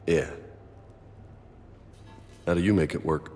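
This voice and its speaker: An actor playing a laid-back, teasing young soldier in a video game, with a casual, unhurried way of talking. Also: male voice, low-pitched, warm tone, long reverb tail, soft background music. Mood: reflective. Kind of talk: video game dialogue acting.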